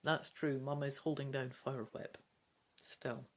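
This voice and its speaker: A woman's voice: extremely low pitch, level tone, a little slow. A woman reading a fantasy gamebook aloud and talking through her choices as she plays. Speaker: gamebook player and reader. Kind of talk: dramatic storybook reading with casual commentary.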